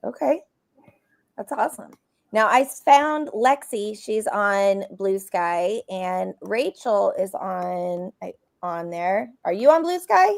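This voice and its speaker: A woman's voice: medium pitch, animated, slow pace, gravelly, no echo, clean studio sound. A woman hosting a casual interview over a video call, reacting with enthusiasm. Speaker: host, interviewer. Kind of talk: casual live-stream interview.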